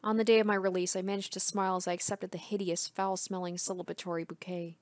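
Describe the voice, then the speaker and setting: A woman's voice: medium pitch, little pitch movement, quick pace, dry recording. A woman reading a first-person story aloud as a dramatic narration. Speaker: gaming video host.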